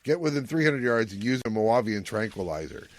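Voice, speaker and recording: A man's voice: on the low side, sing-song, a little fast. A man hosting a podcast, casually recapping an episode and jokily paraphrasing its dialogue. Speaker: podcast host.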